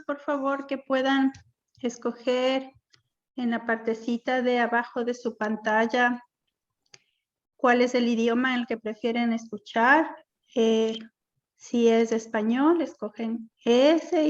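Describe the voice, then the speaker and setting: A woman hosting a webinar over a video call, welcoming participants and giving instructions in a halting way. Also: fairly high pitch, steady tone. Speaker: webinar host and moderator. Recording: dull, lo-fi online call audio.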